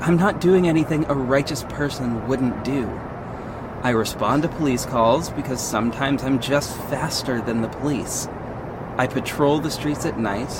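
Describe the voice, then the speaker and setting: A man's voice: mid-range voice, noisy background. A man playing a confident vigilante detective who narrates his own story in an earnest, dramatic audio drama style. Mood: defensive. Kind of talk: first-person audio drama narration with acted dialogue.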